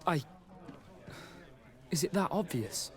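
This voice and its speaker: Brief: green young army recruit, higher voice, flustered, stammering, eager to please, game dialogue. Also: male voice, lively intonation, takes it slowly. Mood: nervous. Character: nervous, deferential young male army recruit. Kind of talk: video game character acting.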